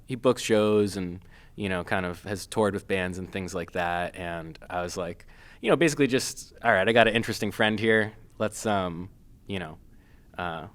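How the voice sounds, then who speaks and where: Low-voiced man, halting and filler-heavy. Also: fairly bright tone, clear, resonant tone. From Massachusetts. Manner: hesitant, many fillers and restarts. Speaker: guest filmmaker. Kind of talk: casual interview.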